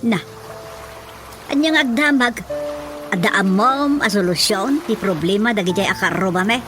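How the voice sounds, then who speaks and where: A woman acts an exasperated mother in a radio drama, speaking with an Ilocano accent in a medium-pitched voice. She grumbles over a clear music bed. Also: moderate pace, natural voice. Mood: grumbling, exasperated. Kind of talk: Filipino radio drama acting.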